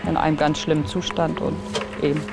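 Older woman, halting interview recollection. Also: sits low, level tone, creaky, loud music behind the voice. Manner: halting, restarts, tag questions. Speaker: former care worker at a children's home. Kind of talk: recorded interview. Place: outdoors in a courtyard.

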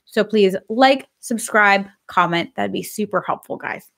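Chatty woman host, slightly hoarse. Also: mid-range voice, a little slow. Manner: upbeat, chatty, says she is excited. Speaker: pet grooming business host. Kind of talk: casual live-stream talk. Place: home office with bookshelves, indoors.

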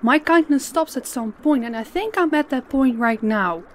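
A woman playing a stern, menacing female boss in an audio drama, speaking in a commanding, deliberate way. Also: high-pitched, lively intonation, slight background noise. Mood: furious, commanding. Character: stern, menacing female crime boss posing as business owner.

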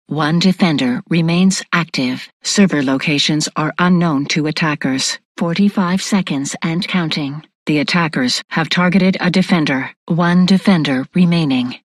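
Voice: medium pitch, dry recording, close to an everyday voice. A performer voicing an impassive facility defence computer in a military video game, with clipped, formal announcements. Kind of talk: scripted game announcer lines.

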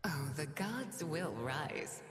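Sadistic witch-demon, low-leaning sultry female voice, whispery, theatrical, archaic, long reverb, video game lines. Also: very animated, takes it slowly, full character voice. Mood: dark, seductive. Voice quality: breathy, often whispering. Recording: heavily reverberant game voice processing.

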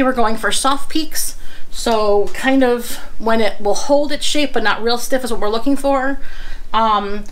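A woman's voice: on the high side, slight background noise, low-quality recording. Chatty woman cooking in a home kitchen. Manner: relaxed and chatty, with frequent "um" and "we're gonna" as she works. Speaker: home cooking show host. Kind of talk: casual step-by-step cooking demo. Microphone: fixed camera across the kitchen counter. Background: clinking dishes and utensils.

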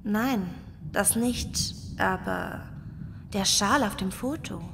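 Woman acting snippy annoyed lady in a horror radio play, bright sharp voice, slowish. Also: mid-range voice, gravelly, a little hiss or hum. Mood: curt. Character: curt, irritable woman, sarcastic and easily offended. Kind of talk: German horror audio drama acting.

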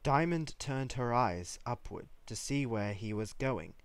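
A man's voice: mid-range voice, expressive, measured pace, clear, resonant tone, no echo. A man reading a piece of fiction aloud as a scripted story narration. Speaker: fan fiction reader.

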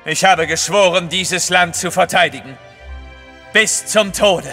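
An actor playing a resolute young-spirited imperial courier in an audio drama, earnest and dutiful. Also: male voice, high-pitched, a little slow, sharp tone, faint music behind, exaggerated voice. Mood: solemn resolve. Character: resolute heroic male courier of the Tsar. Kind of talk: German audio drama acting.

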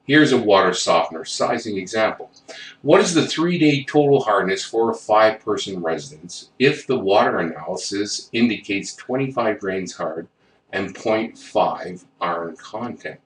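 Deep-voiced man narrating a plumbing lesson. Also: lively intonation, moderate pace. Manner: reads technical figures step by step with small self-corrections. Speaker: plumbing instructor. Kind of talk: instructional voice-over narration. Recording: roomy, distant mic with faint noise.

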